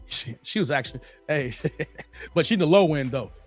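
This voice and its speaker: A hoarse man hosting a casual livestream, slangy and chatty, greeting viewers by name. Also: fairly high pitch, animated, moderate pace, faint music behind. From Chicago area, Illinois. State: hoarse, losing his voice. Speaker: livestream host.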